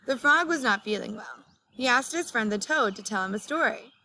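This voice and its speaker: A woman reading a storybook aloud like a teacher, speaking clearly to young listeners. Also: on the high side, animated, fairly quick, sharp tone, slight background noise. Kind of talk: children's storybook read-aloud.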